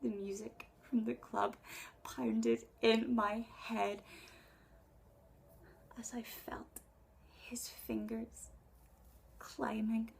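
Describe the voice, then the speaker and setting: A woman in her twenties performing a spoken word poem in a breathy voice, with intimate, deliberate dramatic phrasing. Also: on the high side, flat delivery. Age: 20s. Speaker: poet. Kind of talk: spoken word poetry recital.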